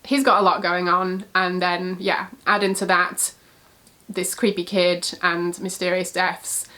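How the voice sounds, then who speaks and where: Stuffy, husky woman with a cold. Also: lowish voice, flat delivery, unhurried, sharp tone. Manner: chatty, frequent "yeah" fillers. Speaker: book reviewer. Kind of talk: casual book recommendations.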